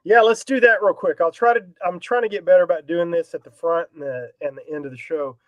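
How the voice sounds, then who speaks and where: A man's voice: fairly high pitch, quick pace, dry recording. A man hosting a casual talk show while he has a cold, with a laid-back, loose delivery. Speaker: podcast host. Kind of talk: casual chat.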